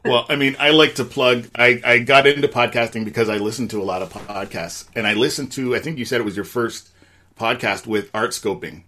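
A man hosting a podcast, asking questions in a relaxed, agreeable way. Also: medium pitch. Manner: relaxed, agreeable, quick short affirmations. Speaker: podcast host. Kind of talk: casual interview chat.

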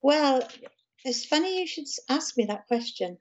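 A woman from South Wales with a South Welsh accent, talking calmly and gently as an interview guest about her music and books. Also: high-pitched, normal speed, lo-fi sound. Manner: gentle, calm, chatty with small laughs. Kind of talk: casual interview chat.

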